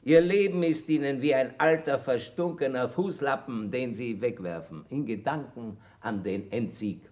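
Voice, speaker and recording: An actor playing a boastful, cynical army chaplain in a radio drama, pompous and declamatory. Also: male voice, medium pitch, normal speed, a little mellow, natural voice. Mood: aggrieved, boastful. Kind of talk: stage-style German radio drama acting.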